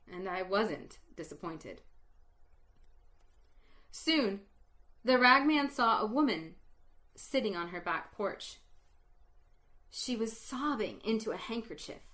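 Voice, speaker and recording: A woman reads a story aloud at a slow, unhurried pace. Her voice is bright and forward, and she lets dramatic pauses hang, dropping to a hush at the saddest moments. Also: medium pitch, lively intonation. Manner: slow, dramatic pauses, hushed at sad parts. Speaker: reader. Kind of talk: storytelling reading.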